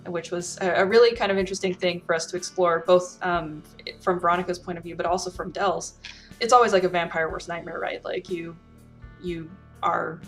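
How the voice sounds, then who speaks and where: Lower-voiced woman, earnest casual monologue. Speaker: role-player and storyteller giving advice.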